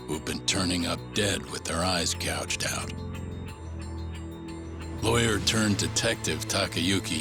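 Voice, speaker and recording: An actor as the grave narrator of a scripted, dramatic crime recap in a video game. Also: male voice, deep voice. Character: grave male story narrator of a crime tale. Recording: prominent music under narration.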